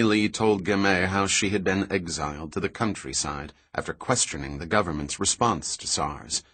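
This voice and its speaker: A man narrating an audiobook with steady, even storytelling. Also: low voice, slightly rough, dry recording. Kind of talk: scripted narration.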